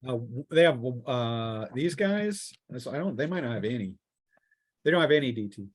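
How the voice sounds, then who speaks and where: A man runs a tabletop role-playing session as game master. His medium-pitched voice moves casually between rules calls and vivid, improvised action. The sound is dry, with very little room in it. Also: expressive, normal speed, dry recording. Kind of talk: narrating and refereeing a tabletop game.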